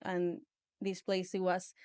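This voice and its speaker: A woman from Venezuela with a Venezuelan Spanish accent, a studio founder speaking as a guest in a casual interview, relaxed and loose, with lots of "like" fillers. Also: fairly low pitch, slow pace, smooth. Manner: relaxed, many "like" fillers, plain non-native phrasing. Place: small podcast studio.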